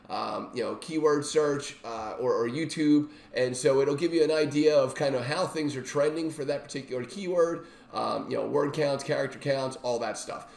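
A middle-aged man with a voice worn by illness gives an informal, enthusiastic software walkthrough as he shares his screen. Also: medium pitch, gravelly. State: sick, recovering from a lost voice. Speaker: software reviewer and demonstrator. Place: small indoor home room. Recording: roomy, echoey home-room sound.

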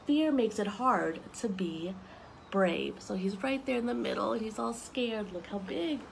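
A young woman reading picture books aloud to children, expressive and explaining things simply as she goes. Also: mid-range voice, measured pace, vocal fry, slight background noise, low-quality recording. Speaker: story time reader for children. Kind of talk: children's picture book read-aloud with asides. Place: outdoors on a wooden deck among trees.

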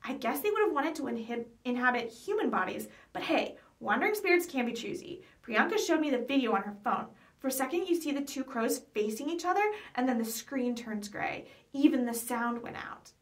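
A woman voicing an excited, inquisitive schoolboy obsessed with ghosts, in a storybook reading. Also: high voice, fairly quick, reverberant. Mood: eager. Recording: voice picked up from some distance.

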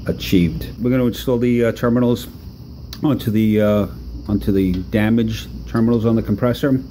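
A man talking through a hands-on repair demonstration in a relaxed, halting way with many fillers, recorded off-camera at an indoor workbench. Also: lowish voice, slight background noise. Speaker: product demonstrator.

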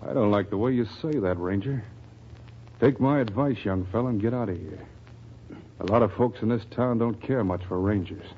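An actor playing a hard, crooked, curt Western sheriff with an American accent in an old radio drama. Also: male voice, on the low side, normal speed, a little hiss or hum, close to an everyday voice. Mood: menacing. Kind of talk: 1940s radio Western acting. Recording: dull, husky old radio recording.

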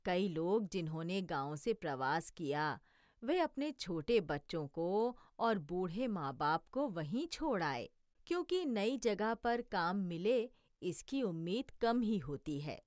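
Gentle village mother, lively pitch, slow patient delivery, dry sound. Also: no echo. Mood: wistful. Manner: gentle, explanatory. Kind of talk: educational cartoon voice acting.

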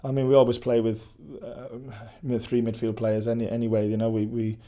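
A Welsh man in his forties, a football head coach, giving a measured post-match interview with many restarts and fillers. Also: medium pitch, flat delivery, dark tone. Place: indoor press backdrop at a stadium.

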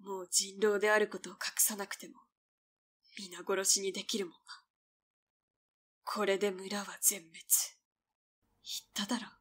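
A woman's voice: mid-range voice, flat delivery, normal speed, long pauses, close to an everyday voice. A woman voicing a devoted tomboyish young girl in a voice drama, blunt yet tender. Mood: tender, resigned. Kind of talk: Japanese voice drama acting.

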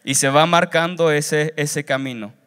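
A man's voice: fairly high pitch, steady tone, a little slow, a bit bright, clean tone. A young man preaching a sermon in an earnest, instructive way, repeating phrases for emphasis. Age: young adult. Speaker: seminary student preacher. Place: large church auditorium stage. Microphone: handheld wired microphone. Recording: reverberant hall through PA.

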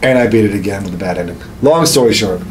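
A man's voice: fairly low pitch, animated, fast, very noisy. A man in his thirties chatting casually about games as a podcast co-host, with a crude, joking, profane manner. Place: small home studio room.

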